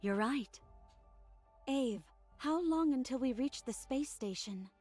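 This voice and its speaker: An actress playing a proud, vain but gentle young heroine in an anime game. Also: female voice, sits high, expressive, slow pace, heavily acted. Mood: calm. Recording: game music behind.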